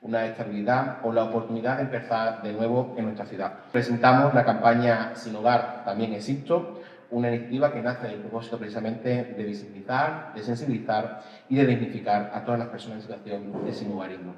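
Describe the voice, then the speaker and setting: A man's voice: lowish voice, steady tone, fairly quick, darkish tone. A man giving a formal public speech as a city official, in a declamatory manner. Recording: echoey hall, dull sound.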